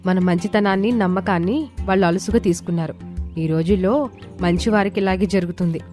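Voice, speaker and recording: A woman's voice: mid-range voice, brisk, warm tone, lightly acted. A woman voicing a kindly but wary village housewife in a cartoon moral story, homely and earnest. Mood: suspicious. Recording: music under the voice.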